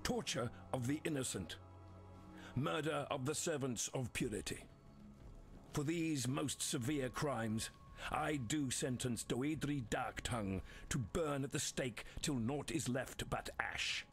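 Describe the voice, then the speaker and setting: An actor playing a stern, pompous templar commander in a video game, making a grave formal proclamation. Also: male voice, mid-range voice, gravelly, soft background music. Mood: stern, righteous. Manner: grave, measured, declamatory.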